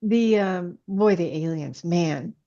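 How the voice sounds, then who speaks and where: A woman's voice: on the low side, slow, warm tone, highs cut off. A woman speaking as an interviewed author, chatting informally and telling stories with frequent asides. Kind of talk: casual conversational interview.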